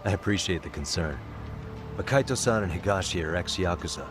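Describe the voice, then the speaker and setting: An actor playing a level-headed detective in an English game dub, measured and explanatory as he briefs his allies. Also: low-pitched, lively intonation, over music. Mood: serious, steady.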